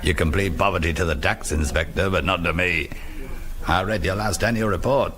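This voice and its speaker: A hearty, nosy English businessman in a British radio play. He has a very low voice and chats familiarly, with a flattering, teasing manner. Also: a little fast, soft background music. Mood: jovial. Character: genial, nosy store buyer, flattering and teasing. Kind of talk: British radio drama acting.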